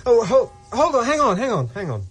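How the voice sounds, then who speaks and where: A man's voice: very high-pitched, wildly swooping pitch, fairly quick, over music, full character voice. An actor playing a distraught, hunted young Englishman in a radio drama, urgent and stammering. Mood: panicked, pleading. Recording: faint noise and ambience.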